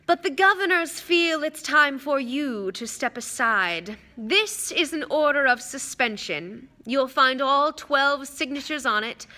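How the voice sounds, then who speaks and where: A woman plays a sneering aristocratic villain from a storybook. He is bright and pointed in tone, with a lazy, condescending drawl and smooth false politeness. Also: high voice, voice pushed up, heavily acted.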